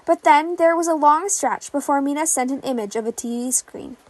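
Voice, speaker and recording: A woman's voice: high voice, a little fast, darkish tone. A woman reading scripted fan fiction narration as a podfic. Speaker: podfic reader.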